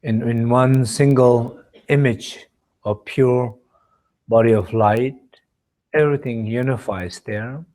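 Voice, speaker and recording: Very slow-paced man guiding meditation. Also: mid-range voice, darkish tone. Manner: measured, pausing between short phrases. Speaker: meditation teacher leading a retreat. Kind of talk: guided meditation.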